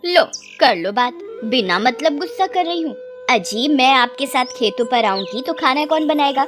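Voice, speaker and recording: Woman as young village wife, lazy, defiant, high-pitched, sarcastic, scolding, moral story, music behind. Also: expressive, moderate pace. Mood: sarcastic, irritated. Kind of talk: dramatised Hindi moral story.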